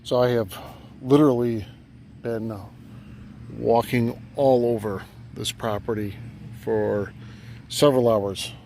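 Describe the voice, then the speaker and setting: A man with a gravelly voice giving a halting, understated casual vlog narration outdoors. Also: mid-range voice, fairly even intonation, music in the background. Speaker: metal detecting vlogger. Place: outdoors on rural farm property. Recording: noticeable outdoor background noise.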